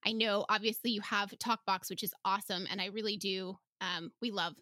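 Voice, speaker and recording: A woman's voice: medium pitch, steady tone, fairly quick, sharp tone, dry recording, studio quality. A woman hosting a podcast interview in a casual, informal way, often checking in with "right?". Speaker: podcast host.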